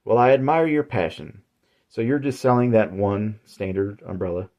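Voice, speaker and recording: A man's voice: fairly low pitch, moderate pace, mellow, close to an everyday voice. A man voicing a weary, frustrated suburban housewife who talks in a chatty, exasperated way.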